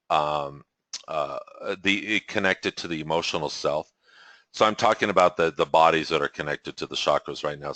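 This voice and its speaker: A deep-voiced man leads a spiritual teaching session over a video call, in a loose, meandering way, restarting his sentences and chuckling as he goes. His low voice has a bright, forceful edge. The sound is dry and close, with no room echo. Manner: rambling, self-correcting, chuckles often. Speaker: spiritual teacher leading a webinar. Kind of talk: casual teaching talk.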